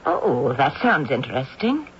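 A determined homeowner speaks in a brisk, polite and firm way. Her pitch moves a lot. The old radio recording is dull and carries a faint hiss. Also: big pitch swings, heavily acted. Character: Miss Logan, practical woman homeowner. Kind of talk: 1970s radio drama acting.

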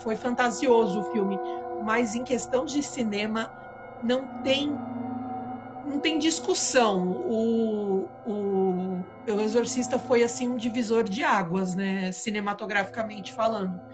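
A fairly high-voiced woman hosts a relaxed live chat and talks in a loose, storytelling way. She often trips and restarts mid-sentence. Music plays clearly behind her. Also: measured pace. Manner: conversational, hesitant restarts, storytelling. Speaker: channel host. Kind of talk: casual live chat with supporters.

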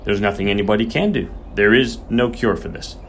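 A man's voice: fairly low pitch, brisk, a little hiss or hum, natural voice. Man as an earnest, uneasy country vet. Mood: grave, resolute. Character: earnest, nervous young male country vet, firm but polite.

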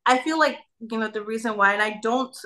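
A woman's voice: a little high, brisk, pushed and bright. A young woman from Nigeria chatting as a podcast guest, full of "like" and "you know". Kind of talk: casual conversation.

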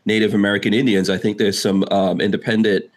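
A man's voice: lowish voice, little pitch movement, quick pace. A man from Guyana hosting a political podcast, speaking conversationally and easygoing. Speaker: podcast host. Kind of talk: casual political podcast chat.

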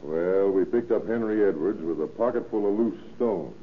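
Man playing old radio detective, real low american voice, matter-of-fact questioning, muffled crackly sound. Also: moderate pace, natural voice. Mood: probing, matter-of-fact. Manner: matter-of-fact, mildly patronizing. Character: steady, probing police inspector questioning a suspect. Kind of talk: 1930s radio acting. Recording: muffled, faintly noisy 1930s radio recording.

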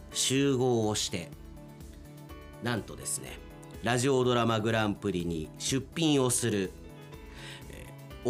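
A radio host with a mid-range voice runs a casual chat with guests. The delivery is extremely animated, with big pitch swings. The host teases and laughs easily. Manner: teasing, laughs often. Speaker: host and director of a radio drama project. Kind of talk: casual post-recording talk with guests, interviewing.

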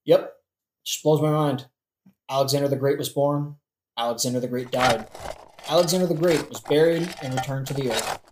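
A sarcastic young prince played by an actor, brooding and easily exasperated. He talks like a modern guy, casual and sweary with dramatic flair, at a medium pitch in an echoey room with faint noise. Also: moderate pace, a little mellow, close to an everyday voice. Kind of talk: satirical modern-language audio play acting.

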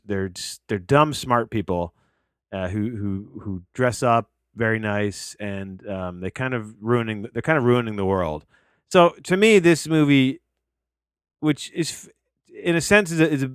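A man nearing forty talks through a documentary review in a meandering, foul-mouthed way, often doubling back on his words. His voice sits a little low, and his home recording is close and dry, with no room echo. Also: fairly bright tone. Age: 30s. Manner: rambling, profane, self-interrupting. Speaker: podcast host. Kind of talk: casual film review. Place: home, tree crew working outside. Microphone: headphones on, home recording.

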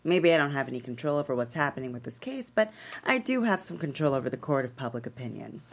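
A woman's voice: very deep voice, animated, fast talker, full character voice. A woman at a screenplay table read, playing a poised, commanding and playful female talk show host with folksy, emphatic showmanship. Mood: firm, guarded. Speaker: actor at a script table read.

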